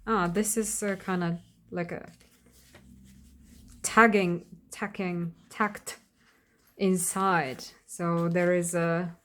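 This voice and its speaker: A woman whose first language is Slovak, giving an unscripted flip-through of a handmade craft journal in a hesitant manner, often pausing and searching for words. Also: lowish voice, little pitch movement, fairly bright tone. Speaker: craft vlogger.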